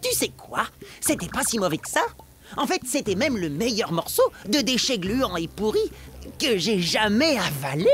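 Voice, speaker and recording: A woman playing an excitable, good-natured pre-teen amoeba boy in a cartoon, with an expressive French delivery. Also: mid-range voice, normal speed. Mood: puzzled, sincere. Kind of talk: animated cartoon dialogue. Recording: loud music behind the voice.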